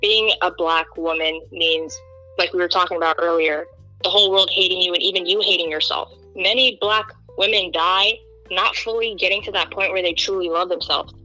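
Earnest young woman, bright forceful voice. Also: on the low side, normal speed, soft background music, poor audio. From Kenya. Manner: earnest, emphatic, animated when quoting others. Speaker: guest. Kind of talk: candid interview talk.

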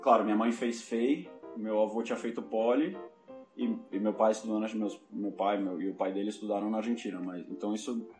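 A man's voice: fairly low pitch, steady tone, a little fast, a little mellow, soft background music. A young man from São Paulo with a Paulista Brazilian Portuguese accent, reflecting as a guest in a casual podcast interview, often restarting his sentences. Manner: reflective, self-correcting, many restarts. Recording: roomy echo.

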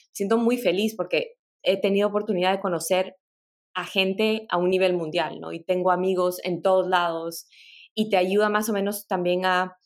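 A middle-aged woman from Peru, guest on a podcast interview, telling her life story thoughtfully with small laughs and restarts. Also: medium pitch. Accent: mixed Latin American Spanish. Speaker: guest, tech product director.

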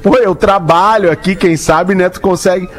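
High-voiced man, chatty. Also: steady tone, normal speed, faint music behind. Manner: talks it through with many fillers. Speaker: co-host. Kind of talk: casual radio chat.

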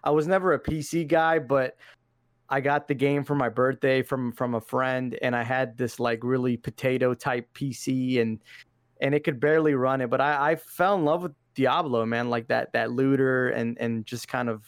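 A man's voice: medium pitch, fairly even intonation, moderate pace, dry recording, studio quality. A young man from Iraq, a podcast guest, talking video games in a laid-back, slangy way, often saying "man" and "dude". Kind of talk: casual interview chat.

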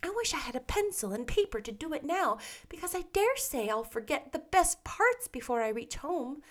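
A woman's voice: high voice, big pitch swings, moderate pace, a bit bright, pitched above the performer's own voice. A woman voicing a talkative, dramatic teenage girl in a storybook reading, with a fanciful, gushing way of speaking. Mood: cheerful.

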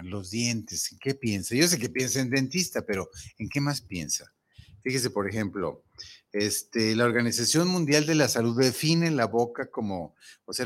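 Un dentista con acento mexicano que conduce un programa de radio y habla con el oyente de forma informal, con muchas frases repetidas y preguntas sueltas. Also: male voice, on the low side. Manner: chatty, rambling, many restarts and asides to the listener. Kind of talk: casual radio talk.

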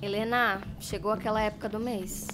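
An actress playing an excitable, defensive inner voice of a young woman, with a Brazilian accent, in an audio drama. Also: medium pitch, normal speed, lightly acted, low-quality recording. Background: music behind the voice.

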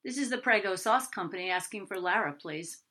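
A woman's voice: medium pitch, lively intonation, brisk, sharp tone. A woman voicing a cheerful, gushing sauce company caller announcing a prize in a story reading. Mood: bright, polite.

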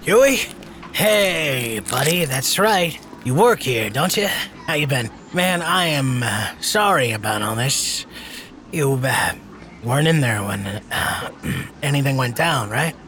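A man's voice: mid-range voice, lively intonation, takes it slowly, a bit bright, slight background noise. An actor playing a brash, smarmy personal trainer and spokesman in an audio drama, with glib, over-friendly, chuckling patter. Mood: chummy.

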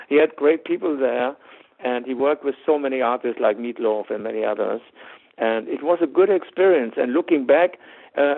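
A rock singer with a German accent speaks in a gravelly voice, rambling through his answers with lots of fillers and quick laughs. The sound is narrow and tinny, like a phone call. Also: medium pitch. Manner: rambling, many fillers and restarts, easy laughs. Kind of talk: interview answers. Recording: tinny phone-line audio.

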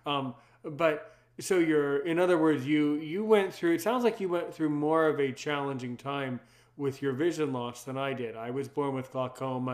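Brief: man in his 30s, native French speaker, deep earnest voice, reflective casual chat. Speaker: conversation partner.

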